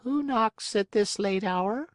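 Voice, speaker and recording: A man voicing a slow, calm female snail in a storybook reading, speaking in a peaceful, unhurried way. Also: high-pitched, no echo, clean studio sound, pitched above the performer's own voice.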